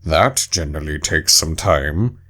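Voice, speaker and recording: A man voicing a gruff, blustering male Griffin, a winged beast, in a storybook reading. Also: rumbling low, measured pace, fairly bright tone, voice pushed down, full character voice. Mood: boisterous.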